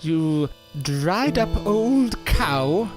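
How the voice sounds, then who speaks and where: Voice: medium pitch, sing-song, extremely slow, over music. A performer playing a bitter, boozy, self-important washed-up ventriloquist in an audio drama, theatrical and condescending. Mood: furious.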